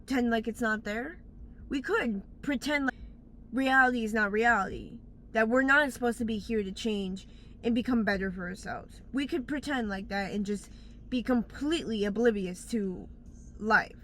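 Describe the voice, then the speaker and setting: A woman's voice: fairly high pitch, normal speed, fairly bright tone, slight background noise. A woman giving blunt life advice in a casual, rambling monologue, recorded outdoors on a phone, often stopping to search for words. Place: outdoors in bright daylight.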